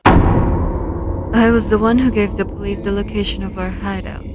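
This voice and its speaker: A woman playing a cold, bitter informant in a game roleplay, speaking deliberately and defiantly. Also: mid-range voice, steady tone, heavy background noise, lightly acted. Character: cold, bitter female informant confessing to police. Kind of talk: online game roleplay voice acting.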